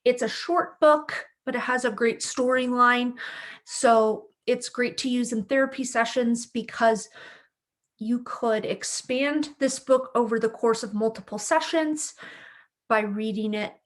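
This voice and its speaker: A woman hosting as a speech therapist, speaking in a clear educational presenting style. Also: fairly high pitch, measured pace. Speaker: speech-language pathologist, host. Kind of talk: children's read-aloud and educational explanation.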